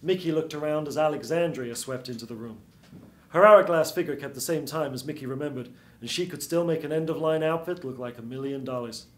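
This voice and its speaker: A man's voice: on the high side, a little fast. A man in his thirties reading a scripted noir radio-play narration as a storyteller. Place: small theatre stage before seated audience. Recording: roomy live theatre stage.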